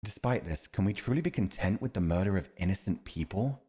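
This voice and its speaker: A man's voice: low-pitched, animated, brisk, dark tone, slightly rough. A man acting a principled, earnest army commander advising a monarch in a fantasy audio roleplay, speaking in a formal, emphatic, righteous way. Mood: firm.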